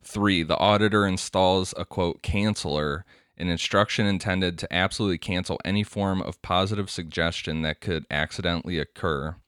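A man's voice: low-pitched, moderate pace, a bit bright, smooth, dry recording, studio quality. A man co-hosting a casual podcast, explaining a topic from notes and laughing often. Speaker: podcast co-host. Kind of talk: casual podcast chat, reading quotes aloud.

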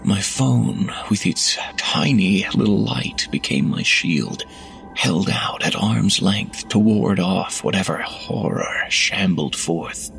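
A male narrator with a low, gravelly voice reads a horror tale at an unhurried pace. His delivery is measured and hushed, sinking to whispers at tense moments. Soft music sits faintly under the narration. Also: lively intonation, rough recording.

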